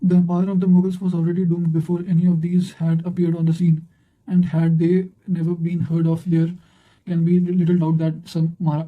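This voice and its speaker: A man's voice: on the high side, phone-quality sound. A man reading historical quotations aloud from a script in a formal, bookish manner, occasionally stumbling.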